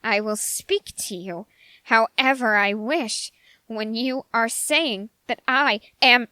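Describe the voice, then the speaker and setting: A woman plays an ambitious young man with a dark heart in an intimate ASMR roleplay. The delivery is slow and formal, full of deliberate pauses. The sound is dry and close, with no room around it. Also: fairly high pitch, dry recording, exaggerated voice. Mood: indignant, smug. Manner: deliberate, halting, formal phrasing with drawn-out pauses. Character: ambitious, dark-hearted young male human bargaining with a demon.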